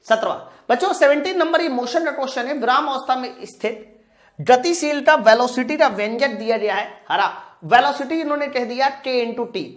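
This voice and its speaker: Female physics tutor, fairly high voice, informal question-and-answer delivery for exam students. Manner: asks questions and answers them herself; addresses students directly and informally. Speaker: physics teacher for exam preparation. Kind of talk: live lesson, working through practice questions step by step.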